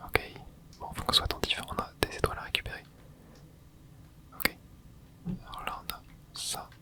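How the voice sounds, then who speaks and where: A man in his twenties, whispering softly as he comments on his gameplay for viewers. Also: moderate pace. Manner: soft whispering, with excited asides to viewers. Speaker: gaming ASMR creator.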